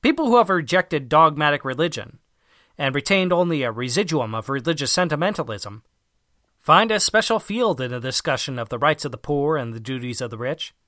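A man reading a formal essay as an audiobook narrator, with a measured, emphatic delivery. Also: on the high side, expressive, sharp tone, dry recording, studio quality. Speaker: audiobook reader.

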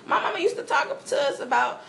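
A young woman chatting on a podcast in a shared apartment. Her voice sits high and moves with lively, bouncing intonation. She talks in an animated, expressive way. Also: brisk, gravelly, a little hiss or hum. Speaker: podcast co-host, roommate. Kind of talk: casual chat.

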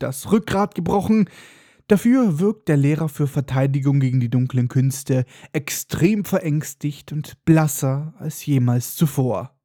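A sarcastic man recaps a book chapter with mocking asides and a stuffy, congested nose. His voice is mellow and slightly raised in pitch. It sounds close and dry, with no room around it. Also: no room sound. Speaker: podcast host. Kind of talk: comedic book-recap podcast.

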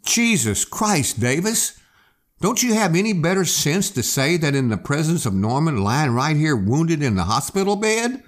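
A man's voice: a little high, very animated, normal speed, pitched above the performer's own voice. A man voicing an anxious, protective adult sister who scolds her companions in an audiobook story. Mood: scolding.

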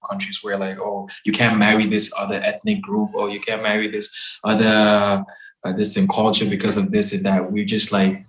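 A young man from West Africa chatting as a guest, emphatic and animated, often calling his listener bro and man. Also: on the low side, moderate pace, reverberant, low-quality recording. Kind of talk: casual conversation.

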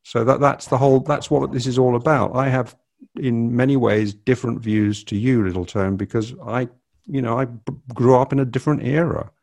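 A man's voice: mid-range voice, steady tone, moderate pace, gravelly, a little mellow. An older man hosting a casual family podcast, rambling and hesitant with many fillers. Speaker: podcast host.

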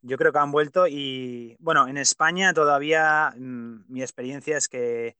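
A man's voice: medium pitch, unhurried, sharp tone, clean tone, no room sound. A man from Pamplona hosting a podcast, chatting casually with plenty of hesitant fillers. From Pamplona, Spain. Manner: hesitant, many fillers, opinionated. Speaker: podcast host. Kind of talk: casual interview chat.

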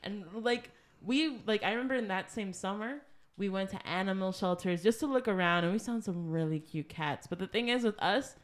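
A woman's voice: medium pitch, moderate pace. A young woman co-hosting a podcast, telling a personal story in casual chat with lots of filler words. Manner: chatty storyteller, frequent "like" fillers. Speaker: podcast co-host.

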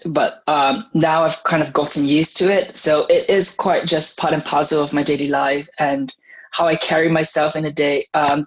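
A young man from Malaysia, a law student, answering interview questions in a thoughtful, measured way. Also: a little high, breathy edge, low-quality recording.

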